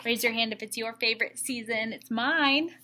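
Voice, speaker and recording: A woman teacher reading a story aloud to young children, with expressive emphasis and explanatory asides. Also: a little high, normal speed.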